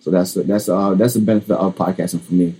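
A man's voice: low-pitched, steady tone, quick pace, warm tone, slightly rough. A man hosting a podcast interview, rambling and filling his questions with "like" and "you know". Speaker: podcast host. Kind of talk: casual interview talk.

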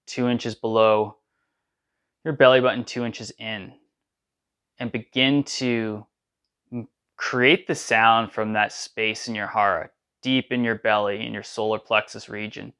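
Guy leading a sound meditation, talks real slow, clean smooth voice. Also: lowish voice, steady tone, fairly bright tone. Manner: slow, soothing guidance with drawn-out chanted syllables. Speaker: meditation guide. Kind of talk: guided sound meditation with chanting.

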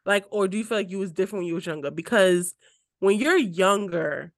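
A woman's voice: medium pitch, fairly quick, dry recording, studio quality. A woman in her twenties co-hosting a podcast, chatting casually, teasing and cursing, often breaking into laughter. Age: 20s. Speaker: podcast co-host. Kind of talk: casual chat.

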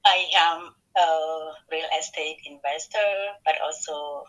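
Bright-voiced woman from Kenya on a phone line. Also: deep voice, fairly even intonation, takes it slowly. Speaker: co-host. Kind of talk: faith-based podcast conversation.

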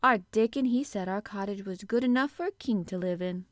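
Storybook role: young Yorkshire housemaid, played by a woman narrator. Cheerful, excitable, chatty dialect, dry recording. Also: mid-range voice, a little fast, no room sound, close to an everyday voice. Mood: happy, chatty. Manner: exclamatory, chatty, dialect-speaking.

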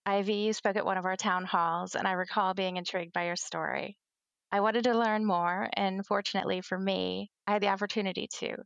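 A woman's voice: medium pitch, flat delivery, fairly quick, gravelly, a bit bright, no room sound, muffled recording. A woman hosting a podcast for the first time, reading a prepared, earnest introduction to her guest. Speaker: podcast host. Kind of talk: podcast interview.

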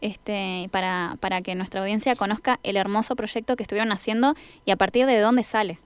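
Very clear-voiced young Argentine woman hosting radio. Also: medium pitch, steady tone, fairly quick. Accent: Argentine Spanish. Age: young adult. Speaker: radio host. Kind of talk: conversational radio interview.

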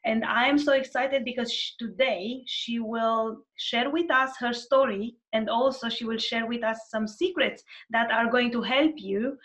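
A woman from Romania, hosting an online interview and speaking haltingly with many restarts. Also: a little high, fairly bright tone. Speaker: podcast host.

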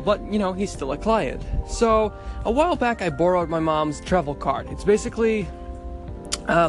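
Young man, high voice, rambling podcast diary recorded on a phone outside with faint music behind. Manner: rambling, chatty, frequent restarts. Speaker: podcast host. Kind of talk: casual audio diary. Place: outdoors at a bus stop on the street.

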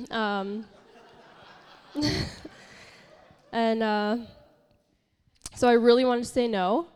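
A woman's voice: a little high, drawn-out words, long pauses, a little mellow, clean tone. Congested, nasal woman preaching in a hall. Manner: candid, self-deprecating, chatty with many fillers. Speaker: pastor and worship leader. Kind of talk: informal sermon. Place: church hall.

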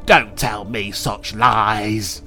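A man voicing an angry, accusing woman lodger from a children's story, with a forceful, scolding, emphatic delivery. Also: medium pitch, moderate pace, soft background music, close to an everyday voice. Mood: furious, accusing.

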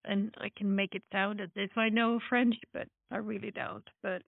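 A woman's voice: mid-range voice, steady tone, normal speed, gravelly, no room sound. Woman chatting on a narrow phone-like line. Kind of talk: casual chat. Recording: thin phone-like call audio.

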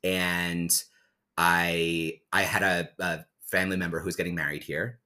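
A man in his thirties from New Jersey, co-hosting a podcast and telling stories in a relaxed casual way. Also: low-pitched, a little slow. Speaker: podcast co-host. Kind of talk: casual chat.

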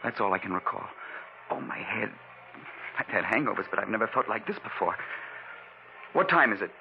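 A man's voice: on the low side, expressive, normal speed, gravelly. An actor playing an agitated, suspicious man with amnesia in a 1940s radio thriller. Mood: dazed. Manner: agitated, questioning, disbelieving. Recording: muffled, noisy old radio recording.

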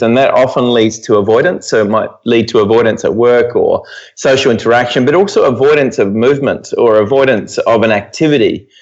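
New Zealand man, dull lo-fi sound. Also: medium pitch. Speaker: guest expert, physiotherapy professor. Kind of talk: conversational explanation in an interview. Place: at home, remote interview.

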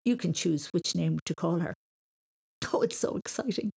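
A woman's voice: lowish voice, normal speed, creaky, a little mellow, breathy edge, full character voice. A woman voicing a loving, eager mother who talks tenderly and reassuringly in a children's audiobook. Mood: delighted. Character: loving, excited mother of a young boy.

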